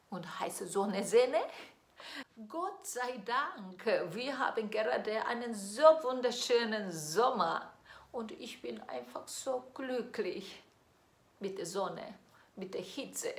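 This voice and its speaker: A middle-aged woman with a Korean accent tells a song's story slowly and lyrically, asking her listener questions as she presents it. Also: mid-range voice, gravelly. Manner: lilting, questions the listener directly. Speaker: classical singer presenting a song. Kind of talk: slow storytelling to the viewer. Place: indoor studio in front of green screen. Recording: echoey room with faint music.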